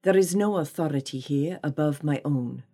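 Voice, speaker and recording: A commanding desert sheikh, acted out by a woman narrator, speaks in a very low, dark voice. He is calm and slow, scornful and imperious, with a seductive menace suited to a romance audiobook. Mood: calm, arrogant.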